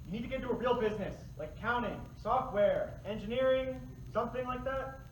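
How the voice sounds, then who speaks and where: A man's voice: extremely high pitch, a little fast. An actor in a staged play reading voicing a sinister, domineering serpent spirit who speaks like a stern, pompous father god. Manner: pompous, commanding. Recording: echoey room, distant mic, faint noise.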